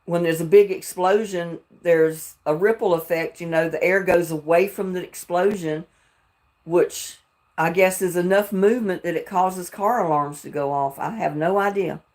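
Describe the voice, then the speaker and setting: A woman's voice: lowish voice, moderate pace, a little mellow. An older woman from North Carolina with a Southern drawl that carries some Texas, chatting casually with viewers on a livestream and chuckling often. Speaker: livestream host. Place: home in Texas.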